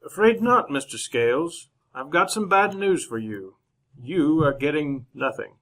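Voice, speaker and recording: A man playing a composed, honorable gentleman newspaperman in a re-created old-time radio western, speaking formally and with measured politeness. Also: a little high, expressive. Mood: cold, firm. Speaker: actor narrating as lead character.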